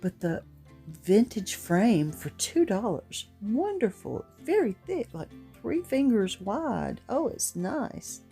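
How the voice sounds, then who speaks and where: A middle-aged woman with a gruff voice giving a chatty, rambling, unhurried voice-over for a craft tutorial. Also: mid-range voice, sing-song, warm tone, soft background music. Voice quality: gruff, gravelly. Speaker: craft vlogger.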